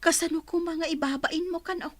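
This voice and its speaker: A woman's voice: extremely high pitch, fairly even intonation, a little fast, no echo. An actress playing a caring mother who gives her daughter advice in a radio drama. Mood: motherly.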